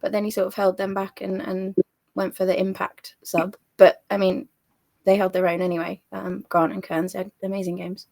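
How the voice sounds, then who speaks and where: Slightly hoarse woman panelist, casual and hesitant, dry close mic. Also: medium pitch, little pitch movement, normal speed, darkish tone, dry recording. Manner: chatty, hesitant, self-correcting. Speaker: podcast panelist. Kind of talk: casual fan podcast chat.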